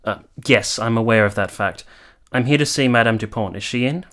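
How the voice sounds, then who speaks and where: A courteous, cultured captain from a period radio drama, played by a male actor. He speaks in formal, literary phrases at a medium pitch. His temper is wistful and reflective. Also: fairly quick, fairly bright tone, natural voice. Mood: polite, tentative. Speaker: actor and narrator in a radio play. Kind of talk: dramatic radio play acting.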